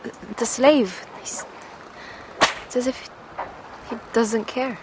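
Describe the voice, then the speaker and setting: An actress playing a sullen, defiant, questioning teenage merchant's daughter in a naturalistic audio drama. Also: female voice, a little high, slow pace. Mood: troubled, curious. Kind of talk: naturalistic radio drama acting. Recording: noisy outdoor ship ambience.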